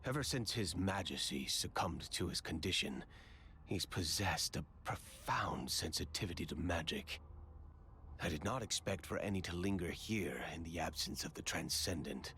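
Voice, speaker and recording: An actor playing a composed, aloof young prince in a video game, speaking in a measured, formal and commanding way. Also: male voice, sits low, lively intonation, sharp tone, a little hiss or hum, full character voice. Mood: pensive, composed.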